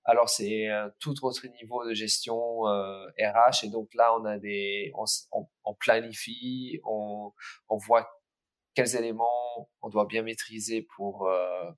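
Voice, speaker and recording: A man's voice: fairly low pitch, fairly even intonation, a little slow. A man who grew up in Ireland, a native English speaker speaking with a slight Irish accent, answering interview questions hesitantly with many fillers as a startup cofounder.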